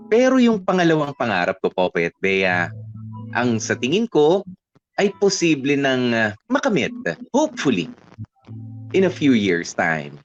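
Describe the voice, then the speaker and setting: Filipino man telling a story, very lively intonation. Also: mid-range voice. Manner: conversational, switches between Tagalog and English. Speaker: radio storyteller reading a listener's letter. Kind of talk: dramatic first-person letter narration. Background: background music.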